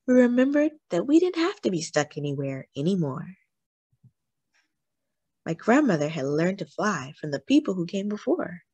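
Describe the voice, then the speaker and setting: Very animated woman reading a storybook. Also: fairly low pitch, no echo. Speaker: story reader, host of a read-aloud channel. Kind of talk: expressive children's picture book read-aloud.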